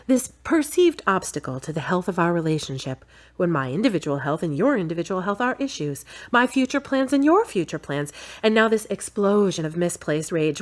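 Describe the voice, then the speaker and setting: A woman's voice: fairly low pitch, lightly acted. An actress playing a sensible, exasperated woman in audio drama dialogue, frank and articulate.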